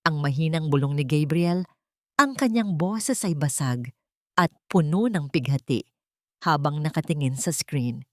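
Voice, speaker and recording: Low-voiced Filipino woman narrating drama, dry recording. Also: lively intonation, a little slow, no room sound. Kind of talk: dramatic scripted story narration.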